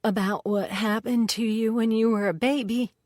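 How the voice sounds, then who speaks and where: Lady doing a high twangy alabama gal, plain-talking and folksy, dry close sound. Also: steady tone, normal speed, a little mellow, no echo, close to an everyday voice. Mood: guarded. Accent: Alabama drawl. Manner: folksy ungrammatical speech, plain-spoken. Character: tearful Southern woman, blunt and folksy. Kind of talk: audiobook character voice.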